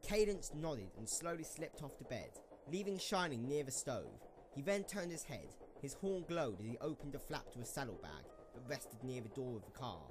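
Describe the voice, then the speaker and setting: A man's voice: mid-range voice, very animated, normal speed, few pauses, over music. A man narrating a scripted story as part of an amateur dramatic reading. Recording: slightly noisy amateur microphone recording.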